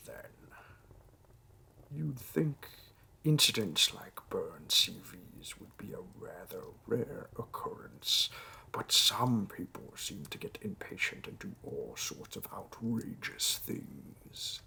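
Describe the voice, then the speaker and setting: A man's voice: on the low side, slow pace, vocal fry, bright, forceful tone, a little hiss or hum, full character voice. A man voicing a wry, courteous demon bureaucrat conducting interviews in hell, speaking formally and dryly in a scripted roleplay audio. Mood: dryly pleased. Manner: dry, formal, politely officious. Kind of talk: scripted roleplay audio monologue addressed to the listener.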